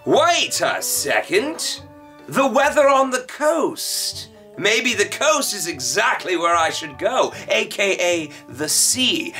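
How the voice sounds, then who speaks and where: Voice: mid-range voice, sharp tone, heavily acted. A performer voicing a goofy young male villain, theatrical and whiny, in an exaggerated cartoon style. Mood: frantic, muttering.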